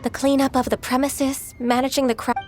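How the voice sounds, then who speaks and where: A woman's voice: high-pitched, little pitch movement, fairly quick, over music, natural voice. An actress playing a formal, ceremonious female government official in a fantasy video game.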